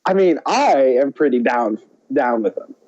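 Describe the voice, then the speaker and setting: Laid-back male co-host, mellow muffled voice. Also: mid-range voice, expressive, measured pace. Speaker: podcast co-host. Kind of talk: casual chat. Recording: dull, muffled lo-fi sound.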